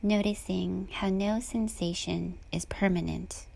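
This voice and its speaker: A woman with a soft, breathy voice guides a body scan meditation in a calm, gentle, unhurried manner. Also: on the low side.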